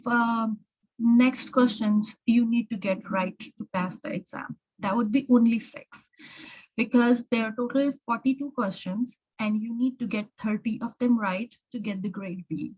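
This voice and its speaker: A woman's voice: fairly high pitch, rough recording. A woman with an American-influenced accent, an exam prep instructor giving informal tips in a chatty way, often repeating words and restarting. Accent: learned American English.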